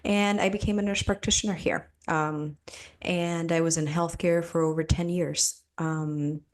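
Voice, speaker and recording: A woman's voice: lowish voice, fairly even intonation, unhurried. A middle-aged woman from Cuba, a podcast guest, telling her story in a reflective way, with many fillers and restarts, laughing easily. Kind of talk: conversational interview.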